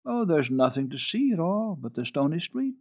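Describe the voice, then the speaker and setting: An innocent little storybook girl, as a male reader puts her on, with a lively, raised pitch. She sounds earnest and naive, always curious and questioning. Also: normal speed, no echo, studio quality. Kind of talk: dramatic children's story reading.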